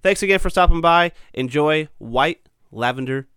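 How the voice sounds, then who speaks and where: A man's voice: fairly high pitch, normal speed. A male podcast host getting over a cold, a bit sniffly, talking in an upbeat, chatty way with lots of fillers. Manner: upbeat, chatty, many ums and uhs. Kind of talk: casual podcast chat.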